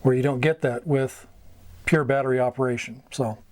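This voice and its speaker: A middle-aged American man giving an informal instructional talk, going item by item in short, halting phrases. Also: mid-range voice, steady tone, a little mellow, no echo. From United States. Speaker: amateur radio mentor, video host. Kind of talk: informal instructional explanation at a whiteboard. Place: small wood-panelled room indoors.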